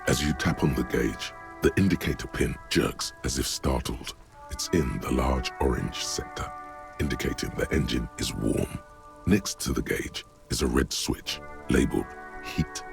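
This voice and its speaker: An actor voicing a solemn, cryptic inner-voice narrator in a video game, speaking in measured, literary, brooding phrases with a gravelly voice. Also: male voice, exaggerated voice. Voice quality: gravelly, very deep. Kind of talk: scripted second-person game narration. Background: music.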